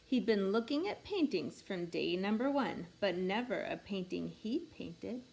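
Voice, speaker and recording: A woman reading a rhyming picture book aloud in an expressive, measured storytelling way meant for children. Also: mid-range voice, vocal fry, slight background noise, rough recording. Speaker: storybook reader.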